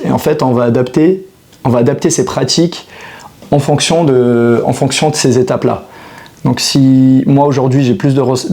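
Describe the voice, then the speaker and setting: Mellow-voiced man in his thirties. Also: medium pitch. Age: 30s. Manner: hesitant, many restarts and fillers, reflective. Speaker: fitness coach. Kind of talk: casual monologue to camera.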